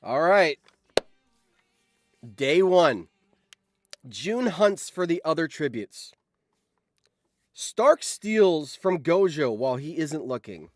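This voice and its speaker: A man live-streaming as host, reading out game results with animated, excitable commentary and surprised exclamations. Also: a little high, takes it slowly, pauses often, no room sound, clean studio sound.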